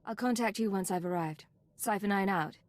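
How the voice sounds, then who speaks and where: A woman's voice: medium pitch, a little fast, no room sound, natural voice. A woman playing a cool secret agent in a video game, terse and controlled. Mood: cool, direct. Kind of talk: dramatic video game dialogue.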